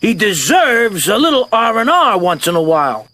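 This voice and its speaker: A woman's voice: mid-range voice, sing-song, moderate pace, fairly bright tone, no echo. A woman voicing a bossy imaginary cartoon friend who nags and preaches. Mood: scolding. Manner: preachy, nagging. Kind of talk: cartoon voice acting.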